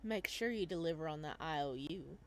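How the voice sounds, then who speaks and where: A woman's voice: deep voice, animated, brisk, a little hiss or hum, voice pushed down. Woman acting cocky cowboy, sly, taunting, veiled threat, visual novel game character. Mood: sly, smug. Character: smirking, cocky neon cowboy with veiled menace.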